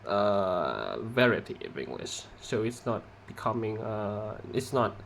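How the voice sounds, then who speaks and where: A young man from Indonesia, a non-native English speaker with an Indonesian accent, giving a halting class presentation with many pauses and restarts. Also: fairly low pitch, very animated, gravelly, a bit bright, a little hiss or hum. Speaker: student presenter.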